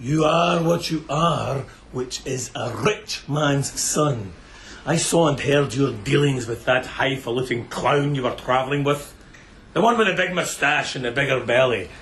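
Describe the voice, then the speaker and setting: An actor playing a sadistic bandit chieftain in a radio drama, theatrical and mocking, relishing every word with menace. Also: male voice, mid-range voice, unhurried, a bit bright, slight background noise. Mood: quiet menace. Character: gloating, sadistic bandit chieftain taunting bound captives.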